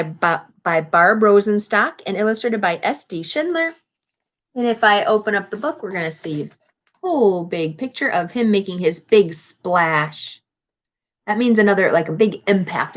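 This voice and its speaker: A woman's voice: mid-range voice, animated, moderate pace, a bit bright. Lady teacher doing a kids read-aloud, reads it out expressively and stops to explain stuff in simple words. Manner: explains simply, as to young children. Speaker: teacher reading a picture book aloud.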